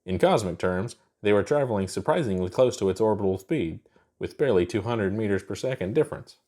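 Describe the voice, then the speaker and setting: A man's voice: fairly low pitch, animated, brisk, mellow. A man reading scripted fiction narration as the narrator of an audiobook story.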